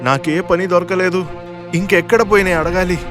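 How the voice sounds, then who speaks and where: A man voicing a weary, worried father, a poor cook, in an animated moral story. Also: sits high, normal speed, music in the background, natural voice.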